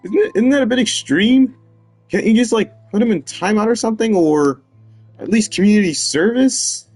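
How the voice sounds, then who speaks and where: A man's voice: sits high, animated, moderate pace, a little mellow. A man reacting casually and dramatically while commentating a video game playthrough. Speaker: gamer commentator. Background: game music.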